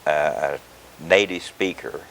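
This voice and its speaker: An older man from Oklahoma, a retired education professor, answering interview questions in a measured, hesitant way with many filler pauses. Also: extremely low pitch, vocal fry, fairly bright tone.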